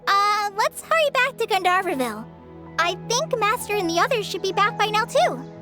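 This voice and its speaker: A woman's voice: very high voice, very animated, normal speed, music in the background. A woman playing an earnest, shy girl training as a forest ranger in a video game, with a light, girlish, hesitant, polite delivery. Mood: flustered.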